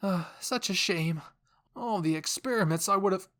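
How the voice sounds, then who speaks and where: An eccentric, excitable scientist from an anime fan drama, acted out by a man. The voice is pushed high and loud, gushing with theatrical, insistent curiosity about experiments. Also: moderate pace. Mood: playful, curious.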